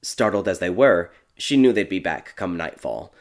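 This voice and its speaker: A man narrating a dark fairy tale audiobook in a measured, theatrical storytelling manner. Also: sits low, expressive.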